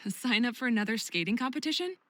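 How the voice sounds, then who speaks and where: A woman playing a caring but firm friend in a visual novel, questioning in a measured, probing way. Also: a little high, lively intonation, fairly bright tone, no echo. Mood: calm.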